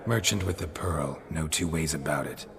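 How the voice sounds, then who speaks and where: Gruff monster hunter for fantasy game: extremely low voice, laconic and deadpan. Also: male voice, normal speed, crowd noise. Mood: dry, wry. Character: gruff, dry-witted male monster hunter.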